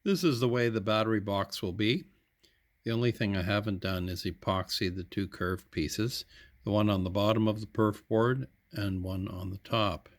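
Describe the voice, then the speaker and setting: A man giving an unscripted how-to narration as a hobbyist, matter-of-fact and hesitant, often pausing mid-sentence. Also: on the low side, no room sound. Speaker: hobbyist electronics repair demonstrator.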